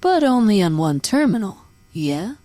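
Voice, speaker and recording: A woman playing a sharp, questioning young space trainee in an audio play, speaking in a direct, businesslike way. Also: mid-range voice, sing-song, moderate pace, no echo, close to an everyday voice. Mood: brisk, probing.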